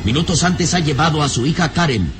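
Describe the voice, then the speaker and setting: A man's voice: fairly high pitch, expressive, fairly quick, heavy background noise, natural voice. A man narrating a radio serial from a script in a grave, suspenseful, theatrical manner.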